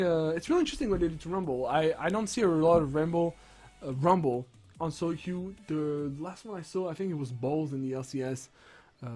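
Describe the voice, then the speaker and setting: Sick-sounding young gamer guy rambling. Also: a little high. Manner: rambling, excitable, many restarts and fillers. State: sick, voice strained. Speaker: gaming streamer. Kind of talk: casual patch-notes commentary.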